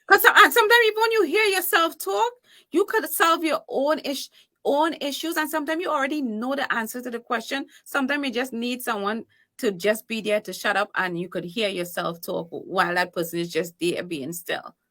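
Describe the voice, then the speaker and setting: A woman from Trinidad and Tobago chats as a podcast guest, her voice bright and fairly high. She talks in a lively, emphatic way and laughs often as she tells her story. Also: brisk. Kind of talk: casual interview chat.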